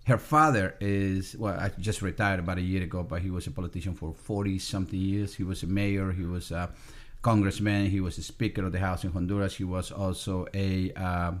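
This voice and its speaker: A man with a low voice and a Salvadoran accent speaks as a guest in a relaxed podcast interview. His English comes as a second language. He pauses often and fills the gaps with "um" and "uh". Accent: Salvadoran Spanish. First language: Spanish. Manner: halting, many fillers and restarts. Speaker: restaurant owner guest. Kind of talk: casual interview.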